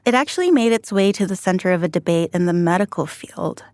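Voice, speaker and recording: Female co-host, lower voice, measured explainer, dry studio sound. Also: lively intonation, darkish tone, no echo. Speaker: staff writer and co-host. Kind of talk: podcast conversation.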